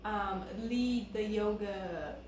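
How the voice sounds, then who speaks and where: A woman co-hosting a casual couple chat, with a calm, soft-spoken way of talking. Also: mid-range voice, extremely slow. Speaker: co-host. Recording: very echoey room with faint background noise.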